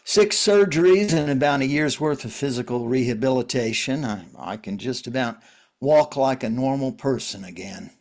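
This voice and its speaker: A man playing a world-weary, wry survivor in a drama reading, talking in a halting, conversational way. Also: mid-range voice, animated, natural voice. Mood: dry, matter-of-fact.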